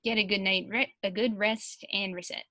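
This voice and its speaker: A woman's voice: mid-range voice, steady tone, normal speed, bright, forceful tone, no room sound. A woman in a casual panel interview, chatting and reading out answers as a translator.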